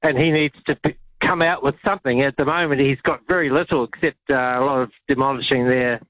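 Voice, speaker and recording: A man's voice: medium pitch, steady tone, fast talker, no room sound. A man calling a radio phone-in, giving his opinions casually over the phone. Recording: dull lo-fi phone call.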